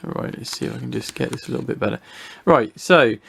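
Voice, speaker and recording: British male hobby reviewer, mid-pitched, informal and unscripted, hesitant, thinking aloud as he demonstrates. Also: fast, creaky, fairly bright tone, breathy edge. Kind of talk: casual unscripted product review, talking through a demonstration.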